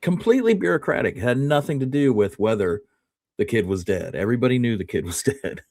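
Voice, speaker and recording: A man's voice: medium pitch, expressive, quick pace, a little mellow, no echo, clean studio sound. A man co-hosting a history show, casually arguing his opinion and reasoning aloud point by point in informal words.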